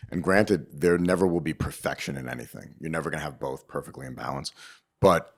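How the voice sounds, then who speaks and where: A man's voice: very deep voice, a little fast. A man speaking emphatically and casually in an interview, giving opinionated advice with rhetorical check-ins. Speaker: interviewed business owner and show host.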